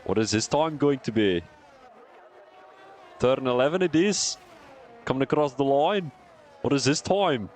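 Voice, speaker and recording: A young man with a Belgian accent doing live commentary on a sim racing stream, excitable and chatty, laughing and rambling between calls. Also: medium pitch, a little slow, pauses often.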